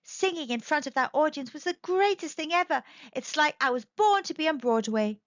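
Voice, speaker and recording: A woman's voice: high voice, lively intonation, fairly quick, pitched above the performer's own voice. A woman voicing a proud, plucky young female cat in a children's storybook. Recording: slightly dull, echoey home recording.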